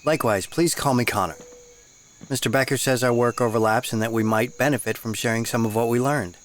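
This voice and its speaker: An actor playing a polite, inquisitive geologist asking questions. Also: male voice, medium pitch, fairly quick, slight background noise, close to an everyday voice. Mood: earnest.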